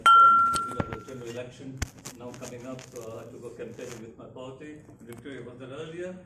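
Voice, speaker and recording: A middle-aged man from Tanzania, an elected politician, giving an unscripted speech at a community event, hesitant with many fillers and restarts. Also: medium pitch. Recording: echoey room, mic far off, background noise.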